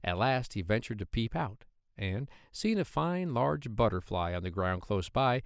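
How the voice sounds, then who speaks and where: Man reading a children's tale, lively intonation. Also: fairly low pitch, a little slow, vocal fry, no echo, studio quality. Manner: expressive storytelling. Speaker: audiobook narrator of children's tales. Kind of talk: scripted storybook narration.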